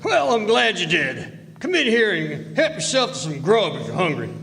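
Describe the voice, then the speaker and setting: Hearty, animated old rancher played by a man. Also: high voice, normal speed, vocal fry, exaggerated voice. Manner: plainspoken, emphatic western drawl. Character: folksy, hearty old male rancher uncle. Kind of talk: old-time western radio drama acting. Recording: reverberant hall.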